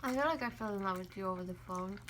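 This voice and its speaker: A woman's voice: mid-range voice, fast talker, fairly bright tone, slight background noise. A woman talking casually in a food vlog, with a wry, teasing way of speaking. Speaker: food vlogger. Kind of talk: casual mukbang chat.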